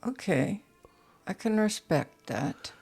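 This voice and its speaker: A woman's voice: medium pitch, very animated, slow, gravelly, darkish tone, slightly rough, soft background music, heavily acted. An actress playing a curious, insistent lady of a big house in an audio drama, talking in a probing, coaxing way.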